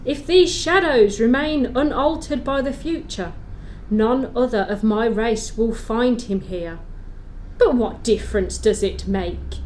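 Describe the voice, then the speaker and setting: A woman's voice: on the high side, a little mellow, slight background noise, poor audio. A woman playing a stern, grand Christmas spirit in an audio play, speaking solemnly and with emphasis.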